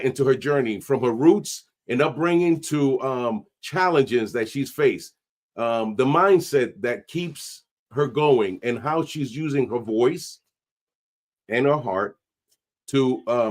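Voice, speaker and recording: A man, hosting a podcast with energetic, streetwise talk sprinkled with Spanish. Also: medium pitch. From New York. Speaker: podcast host. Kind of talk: casual interview chat.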